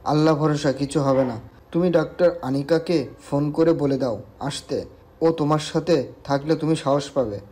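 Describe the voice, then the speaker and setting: Audio story part: man as reassuring senior doctor, measured, mellow, slightly high male voice. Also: soft background music, close to an everyday voice. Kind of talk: Bengali audio story acting.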